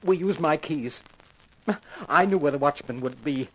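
Anguished, high-voiced confessing wrongdoer. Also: male voice, a bit bright, poor audio. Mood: ashamed, pleading. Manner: melodramatic, halting. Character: desperate, anguished male wrongdoer breaking down in confession. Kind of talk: 1950s radio crime drama acting.

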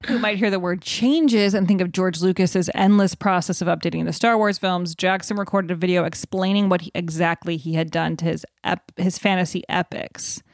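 A woman's voice: fairly low pitch, steady tone, normal speed, few pauses, dry recording, clean studio sound. A woman hosting a podcast in an informal, conversational way, moving between chat and reading out items. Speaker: podcast host. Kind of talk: casual podcast chat with read-out news.

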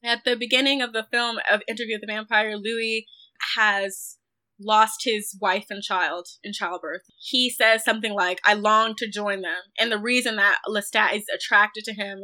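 A woman's voice: mid-range voice, bright, forceful tone. A woman speaking as a guest critic in a film discussion, thoughtful and careful, often qualifying her points as she goes. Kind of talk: reflective film discussion interview.

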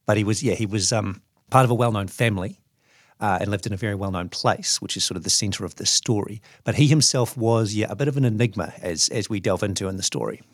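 A man's voice: on the low side, fast talker, dry recording, studio quality. A man with a New Zealand accent, speaking as a journalist. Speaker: journalist reading his own story.

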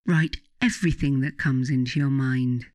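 A narrator guiding a meditation from a script in a soothing, deliberate, instructing manner. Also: mid-range voice, fairly even intonation, darkish tone, dry recording, studio quality. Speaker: author narrating a guided meditation.

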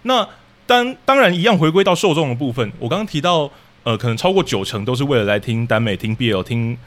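A Taiwanese man speaks as a podcast guest in a medium-pitched voice. He explains at a very fast pace, casually and with careful qualifications. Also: lively intonation. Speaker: podcast guest, radio drama troupe leader.

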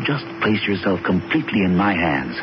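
A man's voice: sits low, sing-song, moderate pace. An actor playing an assured male schemer in a radio drama, persuasive and commanding. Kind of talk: 1970s radio drama acting. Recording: muffled, noisy old radio recording.

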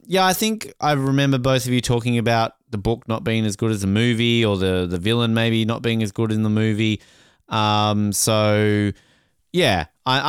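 A man's voice: medium pitch, smooth, no room sound, studio quality. A man chatting casually as a podcast co-host. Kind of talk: casual chat.

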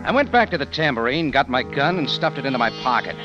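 A man's voice: mid-range voice, sing-song, fast talker, highs cut off, close to an everyday voice. An actor playing a terse, wry American adventurer who narrates his own case in slangy 1940s radio detective style. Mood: tense narration. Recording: old radio recording, music behind.

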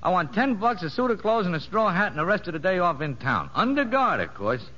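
An actor playing a cocky, streetwise convict safecracker in a 1950s radio drama, talking in slangy, ungrammatical boasts. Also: male voice, sits high, very animated, brisk, sharp tone, full character voice. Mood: bargaining. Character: cocky wisecracking convict safecracker with a soft spot. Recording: noisy, muffled old radio broadcast.

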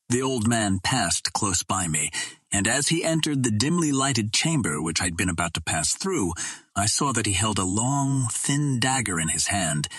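A man reading a first-person adventure novel as an audiobook narrator, with measured, literary storytelling delivery. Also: fairly low pitch, a bit bright, slightly rough, dry recording.

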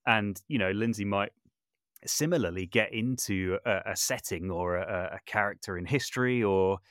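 A man's voice: lowish voice, moderate pace, fairly bright tone, dry recording, clean studio sound. A man chatting casually as an interview guest, self-deprecating and talkative, often restarting his sentences. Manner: chatty, self-deprecating, hesitant with false starts. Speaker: podcast guest, composer and voice artist.

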